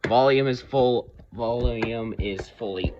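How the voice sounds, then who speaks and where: A man giving a casual, unscripted review of his hobby collection, talking haltingly and pausing mid-phrase. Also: medium pitch, muffled recording, heavy background noise. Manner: halting, rambling delivery with pauses mid-phrase.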